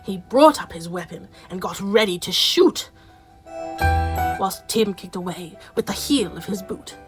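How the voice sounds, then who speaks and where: A woman playing a storybook narrator, reciting rhyming verse in a measured, dramatic way for a radio play. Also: mid-range voice, rough recording.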